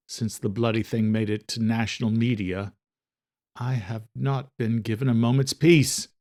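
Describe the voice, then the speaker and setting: A man narrating a scripted horror thriller audiobook in a measured, dramatic storytelling manner. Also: on the low side, darkish tone.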